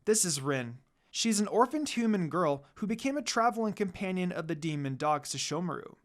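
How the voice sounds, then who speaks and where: Brief: female reader as kind young priestess in a fan fiction tale, gentle, friendly, very expressive intonation. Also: lowish voice, moderate pace, close to an everyday voice.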